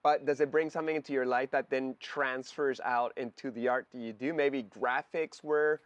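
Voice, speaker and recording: A man's voice: mid-range voice, steady tone, normal speed, no room sound, highs cut off. A man hosting a casual interview podcast, laid-back, with short affirmations. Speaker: podcast host.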